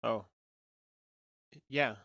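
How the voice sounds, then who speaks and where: An actor playing an earnest, matter-of-fact young alien fugitive who passes for human in an audio drama, speaking naturally and a little hesitantly. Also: male voice, drawn-out words, bright, forceful tone, dry recording, clean studio sound. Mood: uneasy, hesitant.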